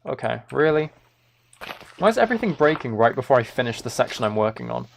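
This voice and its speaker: Gravelly-edged man muttering over a game. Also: mid-range voice, big pitch swings, quick pace. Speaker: livestreamer. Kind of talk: casual gaming commentary. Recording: keyboard typing and clicking noise. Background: keyboard and mouse clicks.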